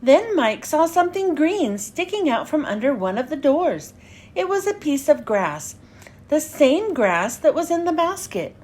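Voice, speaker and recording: A woman with a notably high voice reads a children's story aloud. Her delivery is lively and swings widely in pitch, with each phrase clearly enunciated for young listeners. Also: measured pace. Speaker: children's read-aloud host.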